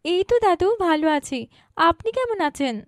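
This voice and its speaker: Lady doing polite bangladeshi village girl in a cartoon, really high voice, earnest, no room echo. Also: animated, moderate pace, clean studio sound. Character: village mother and her polite, earnest grown daughter. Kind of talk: cartoon moral story dialogue.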